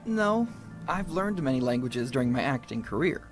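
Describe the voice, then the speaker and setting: A man acting as a young puppeteer in an adventure game. He has an American accent and a sincere, slightly bashful, halting delivery, full of conviction about his heritage. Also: mid-range voice, very animated, soft background music, lightly acted. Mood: friendly, bashful. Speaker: puppeteer and actor.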